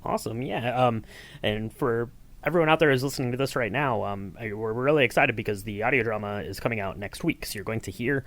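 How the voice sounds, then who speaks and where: A man's voice: mid-range voice, fairly quick, a bit bright. A man hosting a podcast, conversationally putting questions to his guests. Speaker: podcast host, interviewer.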